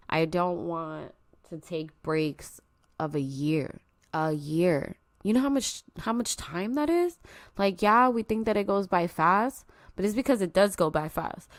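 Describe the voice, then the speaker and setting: A woman's voice: deep voice, clear, resonant tone, no echo, clean studio sound. A young woman hosting her own podcast, talking earnestly and openly to her listeners, a little stuffy from allergies. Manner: earnest, confiding, speaks straight to listeners. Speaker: podcast host. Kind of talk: personal solo podcast talk. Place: at home.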